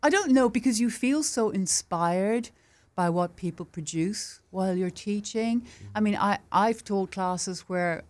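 A woman's voice: medium pitch, measured pace. A woman in her fifties with an Irish accent, talking casually about teaching art and laughing often. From Ireland. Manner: chatty, laughs often, warm and enthusiastic. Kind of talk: casual interview chat.

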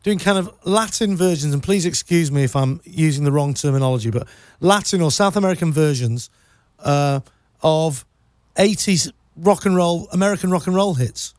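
A male radio host interviewing a guest in a studio, easygoing and polite, a little halting. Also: on the high side, darkish tone, dry recording. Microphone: studio microphone.

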